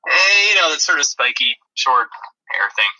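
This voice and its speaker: A man's voice: fairly high pitch, big pitch swings, moderate pace, bright, forceful tone, poor audio. A man co-hosting a podcast, casually explaining things in a rambling, dryly humorous way over a thin, radio-like connection. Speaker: podcast co-host. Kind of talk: casual chat about video-game movies.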